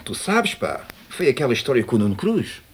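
An actor playing an informal Portuguese prison guard in a naturalistic radio drama. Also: male voice, medium pitch, sing-song, fast talker. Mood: brisk, matter-of-fact. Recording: faintly noisy cassette copy of radio play.

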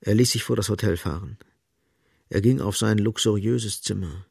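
An actor as a grave, dramatic literary narrator in a radio play. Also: male voice, fairly low pitch, normal speed, creaky, darkish tone, slightly rough, dry recording, studio quality. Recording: music underneath.

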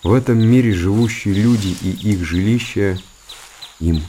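Man acting a low, mellow, aging hermit monk. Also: slight background noise, close to an everyday voice. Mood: resigned, pensive. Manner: measured, pensive, solemn delivery. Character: aging male hermit monk, contemplative, world-weary, reflective. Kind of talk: literary radio monologue.

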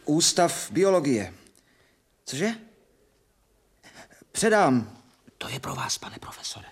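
A man's voice: a little high, big pitch swings, breathy edge. An actor playing a loyal, deferential male servant in a radio drama, folksy and simple in manner. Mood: consoling.

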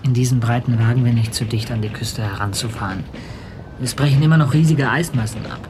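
Man as steady ship's captain, noisy backdrop. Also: medium pitch, fast, natural voice. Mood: steady, reassuring. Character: hospitable, cautious male captain of a polar ship. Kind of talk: German radio drama acting. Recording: noisy ship ambience behind.

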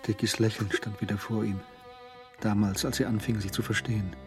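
A man narrating a crime radio drama in a sober, literary manner with measured, clipped phrasing. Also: fairly low pitch, level tone, soft background music, close to an everyday voice. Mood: reflective.

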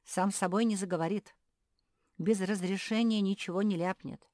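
A woman's voice: mid-range voice, steady tone, moderate pace, a little mellow, dry recording, studio quality, voice pushed up, lightly acted. A woman voicing a sensible male terrier in a children's story, questioning and didactic. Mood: reasoning, reproving. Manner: reasoning, didactic.

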